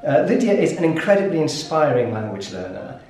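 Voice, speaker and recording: A man's voice: a little high, big pitch swings, a little fast. A man hosting an interview, asking questions with hesitant, stammering restarts. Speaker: host. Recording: echoey room, heard from some distance, soft music.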